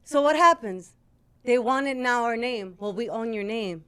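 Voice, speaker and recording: Female radio personality, higher-pitched, animated conversational storyteller, bilingual touches of Spanish. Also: a little slow, clear, resonant tone. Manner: quotes dialogue, slips into Spanish. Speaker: radio host, podcast guest.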